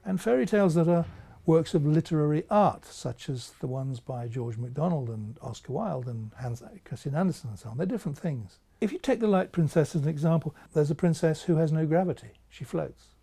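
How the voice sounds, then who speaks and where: A man's voice: on the high side, expressive, a little fast, vocal fry, dark tone, breathy edge. A man speaking as an author in an interview, reflecting thoughtfully with a storyteller's careful phrasing.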